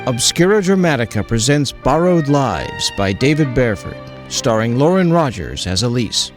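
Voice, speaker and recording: A man's voice: medium pitch, very animated, moderate pace. A man announcing a drama series in a scripted, dramatic intro style. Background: loud music.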